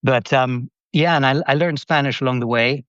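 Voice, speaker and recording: A man from Brazil guesting on a business podcast, friendly and reflective, with a voice of ordinary middle pitch. His sound comes through a slightly dull, lo-fi line, dry and close. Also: moderate pace, fairly bright tone, no echo. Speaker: guest, company CEO. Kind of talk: casual podcast interview.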